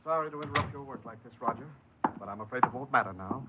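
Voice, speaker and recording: An actor playing a stern, reproving parole officer in a 1950s radio drama. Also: male voice, medium pitch, fast talker, close to an everyday voice. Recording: muffled, hissy 1950s radio recording.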